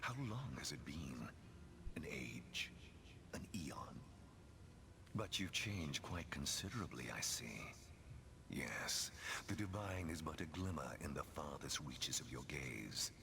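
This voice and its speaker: An actor playing a sly, courtly ancient demon in a fantasy game, with a gravelly voice and grandiose phrasing. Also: male voice, a bass voice, animated, slow, noisy background, exaggerated voice. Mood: silky, knowing. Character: suave, sly ancient male demon offering dark bargains.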